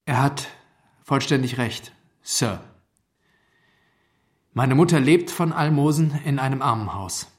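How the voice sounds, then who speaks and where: An actor playing a poor, downtrodden schoolteacher in a German radio drama, earnest and formal in speech. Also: male voice, medium pitch, slow pace, pauses often, lightly acted. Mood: humbled, subdued. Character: poor, downtrodden male schoolteacher, indignant but decent.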